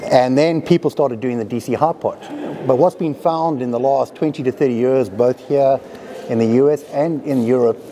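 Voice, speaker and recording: A middle-aged man who grew up in South Africa, a company president giving a relaxed conference interview and explaining technical matters step by step with some self-deprecating humor. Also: mid-range voice, moderate pace, a little hiss or hum. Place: conference hall.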